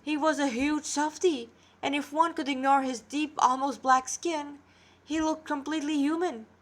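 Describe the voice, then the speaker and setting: Tired woman reading a story, higher-pitched. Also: steady tone, moderate pace. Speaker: amateur storyteller reading aloud. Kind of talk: narrated story reading with character dialogue. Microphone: tablet recording.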